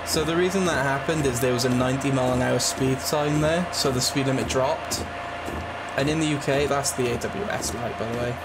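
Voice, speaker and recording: A young man with a slightly raspy, hoarse voice from a cold, chatting loosely with lots of fillers while playing a train simulator. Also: medium pitch, fairly quick. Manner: rambling, chatty, with frequent fillers. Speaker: gaming channel host. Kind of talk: casual commentary over a train simulator. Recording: loud game train noise behind.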